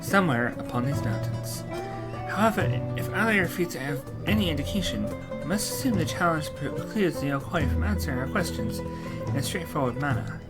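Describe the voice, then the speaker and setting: A man voicing an earnest fantasy scholar in a video game, speaking formally and deliberately. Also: on the high side, animated, over loud music, natural voice. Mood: earnest, instructive. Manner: formal, deliberate, archaic phrasing.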